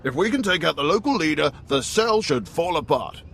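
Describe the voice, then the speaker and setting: Higher-voiced, genteel older spymaster. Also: male voice, very animated, a little fast, gravelly, fairly bright tone, slight background noise, heavily acted. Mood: grave, measured. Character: seasoned older male Imperial intelligence station chief, genial, measured. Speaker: intelligence station chief. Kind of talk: video game dialogue acting.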